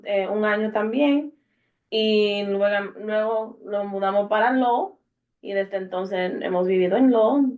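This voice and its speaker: Young woman, hesitant casual talk, lots of fillers, medium pitch, dull lo-fi audio. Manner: hesitant, many fillers and restarts. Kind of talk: casual personal introduction.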